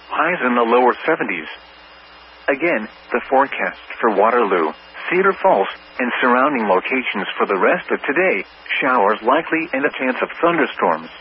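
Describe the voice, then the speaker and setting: A synthetic man's voice reading a scripted weather bulletin in a measured, impersonal, formulaic way. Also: medium pitch. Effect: synthetic text-to-speech voice. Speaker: automated weather radio announcer. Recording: muffled, noisy weather radio with hum.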